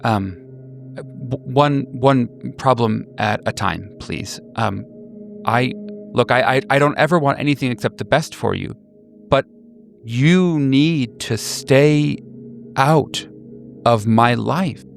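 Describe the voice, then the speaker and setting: Wounded male former lover, acted by a man, gravelly, emphatic, halting, roleplayed phone drama. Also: medium pitch, soft background music. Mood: flustered, firm. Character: wounded, exasperated former lover, a man, firmly refusing reunion.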